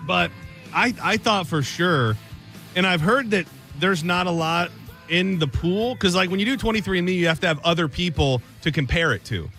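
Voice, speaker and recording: A man in his thirties hosts a casual radio show, his voice bright and a touch high. He tells stories in a rambling, self-mocking way. Faint music plays behind him. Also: normal speed. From Michigan. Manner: rambling, self-deprecating storytelling.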